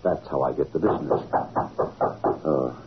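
An actor playing a sly, smug crooked contractor with oily courtesy, in old-time radio drama style. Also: male voice, extremely low pitch, normal speed. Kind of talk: 1940s radio acting. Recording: dull, noisy old radio recording.

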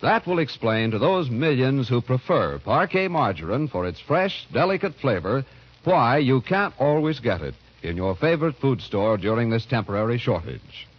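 A man's voice: mid-range voice, big pitch swings, normal speed, a little hiss or hum. An American man announcing in the style of 1940s radio, delivering scripted sponsor messages and credits. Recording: muffled 1940s radio recording.

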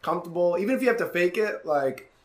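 A man's voice: high voice, quick pace. Young man with a raised voice, casual storyteller. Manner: animated storytelling, swearing, frequent "like". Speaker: podcast host. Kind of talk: casual chat.

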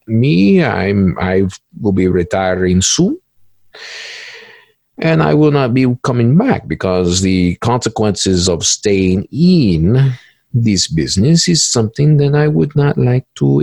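A man playing an easygoing, boastful male cybercriminal in an interview role-play, halting and repeating phrases in stilted formal wording. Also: on the low side, sing-song, creaky, darkish tone, no room sound, exaggerated voice. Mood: measured, matter-of-fact.

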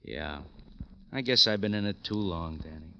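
Low, muffled world-weary police detective. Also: male voice, normal speed, gravelly, pushed and bright, clean tone, full character voice. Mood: bitter, weary. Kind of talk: 1950s radio drama acting. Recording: muffled, noisy old radio recording.

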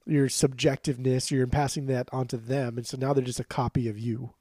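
A man's voice: medium pitch, level tone, a little fast, dark tone, no room sound. A congested man co-hosting a podcast, chatting casually and teasing his friend. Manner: teasing, playful banter. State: congested, stuffed up. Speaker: podcast co-host. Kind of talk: casual chat.

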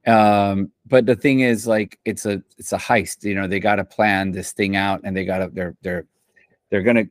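A man's voice: on the low side, steady tone, moderate pace, dry recording, studio quality. A man who composes for film and television, talking in a loose, rambling way, full of slang and restarts, in a casual interview. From Central America.

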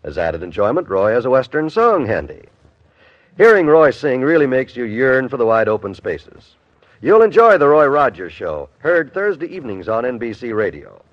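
American guy, old time radio announcer, very animated, dull lo-fi recording. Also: mid-range voice, normal speed, no echo. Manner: polished, enthusiastic selling. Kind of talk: 1950s radio promo, scripted announcing.

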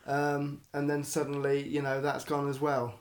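Guy co-hosting football chat, fairly high voice, echoey room, laid out casually. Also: completely flat delivery, moderate pace. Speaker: podcast co-host. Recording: roomy, echoey voice pickup.

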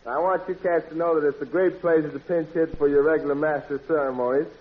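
A man's voice: a little high, fairly even intonation, fast, phone-quality sound. A man emceeing a 1940s radio show in hip jive patter, heard on a thin old recording. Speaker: master of ceremonies.

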